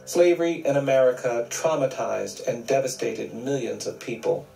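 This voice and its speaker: A man reading a scripted documentary narration in a grave, measured way. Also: mid-range voice, vocal fry, dark tone, faint music behind. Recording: roomy, distant video playback.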